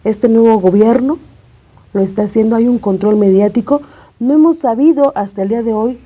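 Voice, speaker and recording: Dark, husky-voiced Mexican woman. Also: a little high, moderate pace. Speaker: journalist, interview guest. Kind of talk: conversational political commentary. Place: TV studio.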